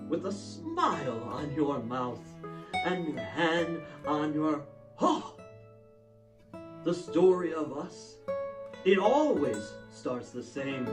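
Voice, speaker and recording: A hammy, mock-sultry dramatic reader played by a man delivers pop lyrics as if they were tragic verse. The delivery is slow and drawn out, swooping in pitch, over plucked guitar music. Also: roomy sound, recorded from a distance. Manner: hammy, drawn out with long pauses.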